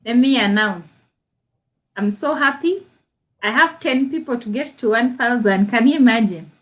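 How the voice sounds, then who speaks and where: A woman's voice: a little high, moderate pace, fairly bright tone. A woman from Uganda co-hosting a livestream, chatting about her own experience and laughing often. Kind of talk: casual chat about personal experience.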